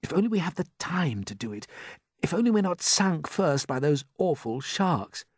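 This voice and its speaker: A man's voice: on the high side, sing-song, moderate pace, slightly rough, dry recording, studio quality, pitched above the performer's own voice. A man voicing an earnest, determined, polite young boy in a children's story.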